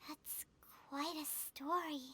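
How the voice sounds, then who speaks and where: A woman voicing a tiny, childlike fairy companion in a video game, squeaky and cute, talking in a casual, earnest way. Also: steady tone, slow, sharp tone, exaggerated voice. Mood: puzzled. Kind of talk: scripted video game voice acting.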